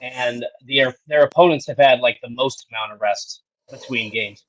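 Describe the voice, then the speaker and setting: A man's voice: medium pitch, a bit bright, low-quality recording. Man in his thirties talking sports analysis. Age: 30s. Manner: measured, explanatory, citing stats. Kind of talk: casual sports analysis chat.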